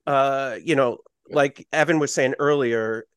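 A man's voice: mid-range voice, unhurried, a bit bright, clear, resonant tone, no room sound, studio quality. A man acting as game master on a podcast, explaining dice rules in a loose, self-correcting way with plenty of fillers.

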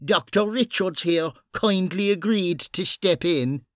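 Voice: mid-range voice, slow pace, no room sound, clean studio sound, pitched above the performer's own voice, natural voice. A performer voicing a brash, conniving and sardonic policeman in an audiobook, with a theatrical, commanding manner. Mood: mock solemn.

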